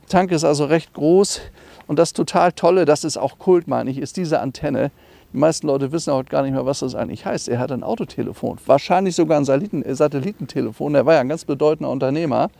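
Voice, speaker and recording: Middle-aged man, high-pitched creaky voice. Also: warm tone, no room sound. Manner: enthusiastic, conversational, explanatory. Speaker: classic car dealer presenting a car. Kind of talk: informal car walkaround presentation.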